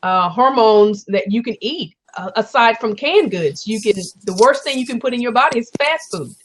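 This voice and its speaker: A woman's voice: fairly high pitch, animated. An American woman hosting a casual online chat, earnest and emphatic, repeating words for emphasis. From USA. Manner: earnest, repetitive emphasis, quick to laugh. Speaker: chat host.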